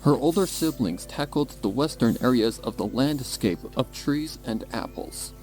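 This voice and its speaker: A man's voice: medium pitch, lively intonation, normal speed, darkish tone, soft background music. A man reading scripted story narration in a dramatic style as a fan fiction narrator.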